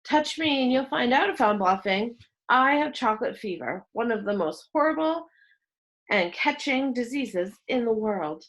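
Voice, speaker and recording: A woman reading aloud plays a cornered boy in a children's storybook. He is polite yet firm and quick-witted, speaking with deliberate control and very varied pitch. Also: lively intonation, a bit bright. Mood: defiant.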